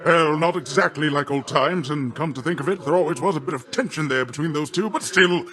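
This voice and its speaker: Grandiloquent, hearty sea god uncle, higher-pitched. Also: male voice, a little fast, bright, forceful tone. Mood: chummy, reassuring. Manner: grandiloquent, hearty, old-fashioned phrasing. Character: boisterous, boastful sea god, a jovial doting uncle. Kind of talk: theatrical video game character voice acting.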